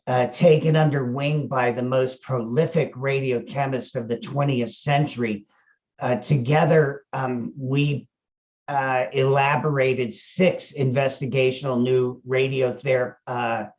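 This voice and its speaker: An older American man speaks conversationally as an executive being interviewed. He is folksy and a little wry, with colloquial turns of phrase and a mid-range pitch. The recording is somewhat dull and lo-fi. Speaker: chief medical officer interviewed about his company.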